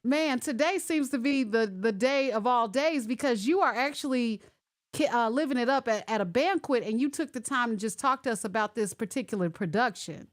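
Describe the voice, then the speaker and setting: A woman hosting a casual online interview over a video call, chatty and animated as she works through connection glitches. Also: a little high, normal speed. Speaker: host.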